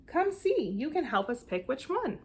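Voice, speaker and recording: A woman voicing an eager six-year-old boy in a storybook, with an excited, emphatic, childlike delivery. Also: high-pitched, moderate pace, a little hiss or hum, voice pushed up. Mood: excited, proud.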